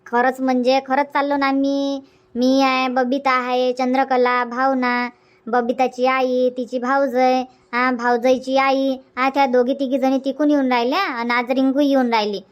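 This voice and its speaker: High-voiced bossy village auntie played by a woman. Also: flat delivery, normal speed, clean tone, lo-fi sound, close to an everyday voice. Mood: explaining. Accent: Varhadi Marathi. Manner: chatty, insistent, repeats herself. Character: bossy, sociable village auntie organizing her friends. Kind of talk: cartoon comedy dubbing.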